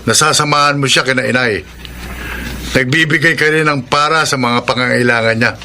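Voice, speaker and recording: A man's voice: mid-range voice, fairly quick, bright, forceful tone, a little hiss or hum. A man playing a stern, responsible older brother and uncle in a Filipino radio drama, earnest and admonishing. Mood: scolding, insistent.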